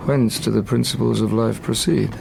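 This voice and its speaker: An obsessed young gothic scientist in an audio drama. His voice is low and gravelly, his delivery formal, measured and intense, with a haunted gravity. Clear noise sits under the voice. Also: steady tone. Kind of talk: audio drama acting, first-person narration and dialogue.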